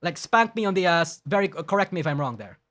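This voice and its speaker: A man's voice: a little high, big pitch swings, fast, fairly bright tone. A man live-streaming, reading a document aloud and rebutting it in an emphatic, argumentative way, often restarting his sentences and swearing bluntly. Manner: blunt and profane.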